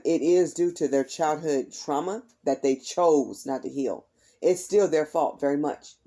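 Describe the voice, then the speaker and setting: A woman giving a casual tarot reading to her viewers. Her voice is slightly raspy and tired from heavy use, and she keeps repeating "is what I'm hearing" in a chatty way. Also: sits low, dark tone. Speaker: tarot reader.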